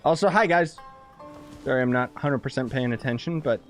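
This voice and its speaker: A man's voice: medium pitch, brisk. A young man giving casual, slangy live gameplay commentary, with a joking, sarcastic manner. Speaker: game streamer. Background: faint game music.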